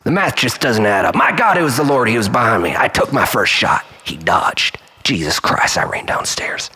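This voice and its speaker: Husky, creaky man telling a melodramatic tale. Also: mid-range voice, sing-song, normal speed. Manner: melodramatic, swinging between loud exclamation and breathy hush. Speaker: storyteller performing a comic monologue. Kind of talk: dramatic first-person storytelling, theatrical monologue.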